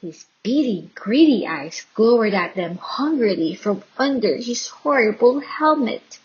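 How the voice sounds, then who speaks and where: A woman reading a children's Bible story aloud in an expressive, dramatic and deliberate storytelling style. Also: on the high side, slightly rough, dull audio. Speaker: storybook reader.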